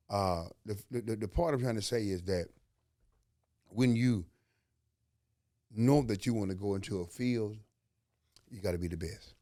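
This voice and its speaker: A man's voice: low-pitched, mellow, no echo. Southern guy from alabama hosting a podcast, folksy and preachy, lots of "you follow me", dry sound. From Alabama, USA. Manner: folksy, preachy, full of rhetorical questions and slang. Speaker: podcast host. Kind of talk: motivational podcast talk.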